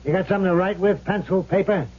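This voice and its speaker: Brash gangster ghost, highish voice. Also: male voice, fast talker. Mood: brash, impatient. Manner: tough slangy talk, curt and bossy. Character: brash wisecracking male ghost of an old-time gangster. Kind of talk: 1970s radio drama acting. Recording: muffled old radio broadcast.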